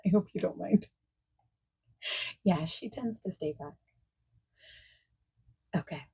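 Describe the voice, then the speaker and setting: A woman playing a friendly, accommodating party planner in a softspoken ASMR roleplay, chatting casually and reassuringly, at times nearly whispering. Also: fairly low pitch, gravelly. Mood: friendly, chatty. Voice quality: soft-spoken, breaking into near-whispers. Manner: casual, reassuring, chatty, pausing for unheard replies. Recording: faint noise, keyboard typing and clicking.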